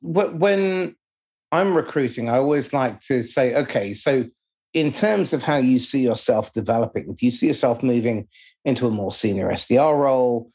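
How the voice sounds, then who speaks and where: A man's voice: medium pitch, moderate pace, a little mellow, slightly rough. A man hosting a conversational interview, asking questions and explaining his own approach.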